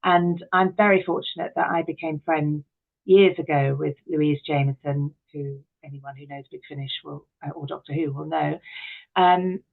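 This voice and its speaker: A woman's voice: deep voice, vocal fry, phone-quality sound. A middle-aged woman, talking candidly and expressively as an interview guest. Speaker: interview guest, actress, writer and director.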